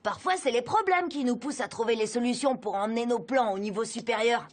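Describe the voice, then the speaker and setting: A woman's voice: on the high side, a little fast, slight background noise, close to an everyday voice. A woman voicing a cheeky, upbeat schoolboy who leads his friends' schemes in a French-dubbed cartoon. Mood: confident.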